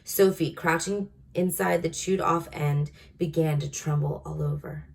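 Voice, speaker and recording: Low-pitched woman reading a storybook. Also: steady tone, measured pace, gravelly. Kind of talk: expressive children's book narration.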